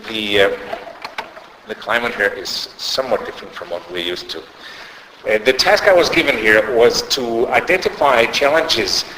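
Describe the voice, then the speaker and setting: A man's voice: medium pitch, a little slow, bright, forceful tone, slight background noise. A middle-aged man with a Danish accent, a sociolinguist giving a conference lecture with many hesitations and restarts. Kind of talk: academic conference talk. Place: lecture hall. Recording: echoey lecture hall, faint ambience.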